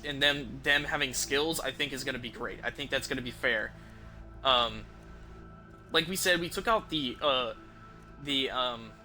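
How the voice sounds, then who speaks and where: A man talking casually on a game livestream, rambling in an informal, enthusiastic and halting way. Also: medium pitch, bright, forceful tone. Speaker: game streamer.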